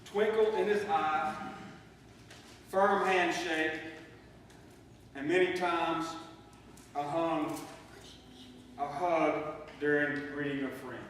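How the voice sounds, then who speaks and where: A man in middle age reads a eulogy slowly and deliberately, in a slightly high voice. His words come from far off in a very reverberant church hall. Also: fairly even intonation, a bit bright. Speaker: eulogist, son of the deceased. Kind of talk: read memorial eulogy. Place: church sanctuary. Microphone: distant microphone in a large room. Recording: distant mic, echoey hall, faint noise.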